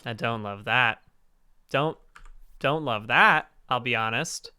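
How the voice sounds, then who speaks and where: Male host, hoarse and raspy, bright tone, rambling gamer chat. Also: takes it slowly. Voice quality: deeper raspy register. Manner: rambling, self-interrupting. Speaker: stream host. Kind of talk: casual gaming chat on a charity stream.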